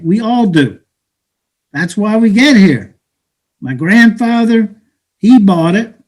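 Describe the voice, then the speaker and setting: Guy acting bitter streetwise brooklyn dude in a stage play, slangy n cynical, high voice, takes his time. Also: a little mellow. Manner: slangy, cynical, halting delivery. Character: bitter, streetwise Brooklyn-born man, grandson of a Haitian immigrant. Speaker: stage actor. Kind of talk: dramatic play reading.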